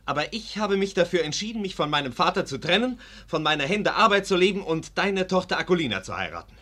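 A man's voice: high-pitched, sing-song, fast, bright, forceful tone, full character voice. An actor playing an earnest, romantic young nobleman in a German radio play. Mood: earnest, defiant. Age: young adult. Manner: earnest, fervent.

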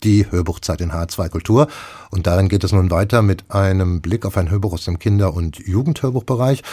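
Husky male radio book-show host. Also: fairly low pitch, mellow, dry recording. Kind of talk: moderated radio book review.

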